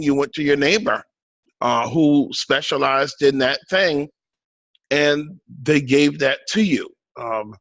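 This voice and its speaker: A man's voice: medium pitch, a bit bright, dry recording, low-quality recording. Emphatic man on a dry close mic. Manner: emphatic, rhetorical questions. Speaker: audience member. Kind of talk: informal remarks at a live forum.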